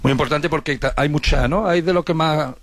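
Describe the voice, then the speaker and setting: A football commentator talks quickly, laying out his opinions on who should start. His voice is recorded close and dry, with no room sound. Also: a little high, fairly bright tone, dry recording. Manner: opinionated, lays out his picks.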